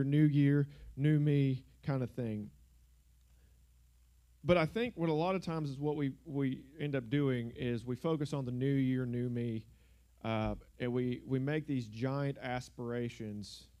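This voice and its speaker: Young guy preaching, laid back and jokey, mellow warm voice, handheld mic in church. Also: medium pitch. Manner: joking, conversational, uses filler words like "like" and "y'all". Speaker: guest preacher. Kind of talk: casual sermon. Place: church sanctuary. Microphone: handheld microphone.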